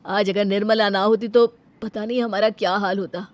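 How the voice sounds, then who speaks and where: A woman's voice: mid-range voice, steady tone, moderate pace, natural voice. A woman voicing an earnest, troubled young husband in a cartoon story, speaking emphatically. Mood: grateful. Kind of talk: Hindi cartoon story acting.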